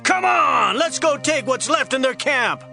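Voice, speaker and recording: A man playing an excited, greedy ancient soldier, exclaiming urgently in an audio Bible drama. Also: extremely high pitch, measured pace, gravelly, faint music behind, exaggerated voice. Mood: excited, gleeful. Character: shouting Moabite soldier, excited and greedy for plunder.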